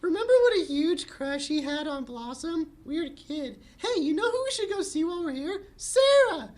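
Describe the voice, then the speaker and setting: Bubbly, fame-hungry young cartoon heroine, very high squeaky gushing voice, showy comedic delivery. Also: female voice, very animated, moderate pace, a little mellow. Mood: chatty, gossipy. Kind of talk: comedic table read.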